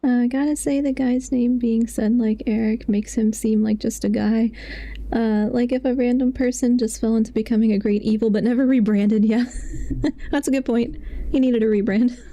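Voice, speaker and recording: A woman's voice: a little high, steady tone, normal speed, warm tone. A woman live streaming a game, chatting casually and wryly as she comments.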